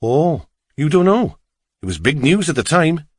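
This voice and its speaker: A man's voice: fairly high pitch, very animated, a little slow, slightly rough, no room sound, voice pushed up, heavily acted. A man voicing a chatty, curious middle-aged taxi driver with a Welsh accent in an audiobook. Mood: grave, gossipy. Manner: chatty, lilting, inquisitive.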